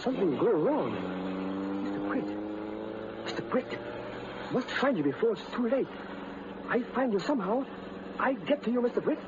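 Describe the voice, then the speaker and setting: A loyal, anxious manservant speaks high and swoopingly in clipped broken English. It is old radio drama, muffled under heavy noise. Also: male voice, sing-song, normal speed, long pauses. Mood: anxious, determined. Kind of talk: 1930s-40s radio drama acting. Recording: dull, very noisy vintage radio recording.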